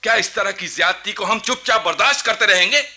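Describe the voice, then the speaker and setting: A man's voice: high voice, fairly quick, sharp tone, full character voice. A man playing a proud, wrathful young Indian ruler in a radio drama, speaking with imperious, accusing, formal courtly diction. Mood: stern anger. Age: young adult. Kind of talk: theatrical Hindustani radio drama acting.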